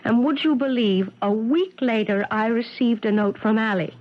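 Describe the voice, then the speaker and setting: An actress playing an earnest, determined professor in a 1970s radio drama, urgent and articulate. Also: female voice, on the high side, moderate pace, close to an everyday voice. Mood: earnest recounting. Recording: muffled 1970s radio broadcast.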